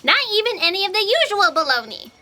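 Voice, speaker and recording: A woman's voice: extremely high pitch, big pitch swings, quick pace, bright, forceful tone, full character voice. A woman playing a naive, eager and hapless cafeteria underling in a comic audio drama. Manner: eager, guileless.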